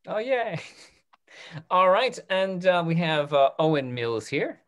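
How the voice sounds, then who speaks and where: Man hosting, with very animated pitch. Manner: halting, repeats his words. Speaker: host of a theatre talk-back. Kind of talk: casual, hesitant moderating. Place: indoor video call.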